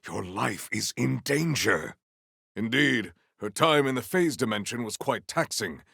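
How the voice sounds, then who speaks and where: An actor playing a solemn, honor-bound warrior-monk in a video game, speaking in a formal, stately, theatrical way. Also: male voice, mid-range voice, animated, a little slow, gravelly, pushed and bright, husky, dry recording, studio quality, exaggerated voice. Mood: formal, steady. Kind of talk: scripted video game dialogue.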